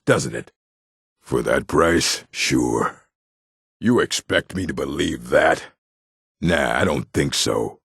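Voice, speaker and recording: An actor playing a gruff, combative male orc townsman in a video game, with a rough, husky voice and a blunt, curt way of speaking. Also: extremely low pitch, dry recording, studio quality, exaggerated voice. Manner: blunt, curt, threatening delivery. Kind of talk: video game character barks.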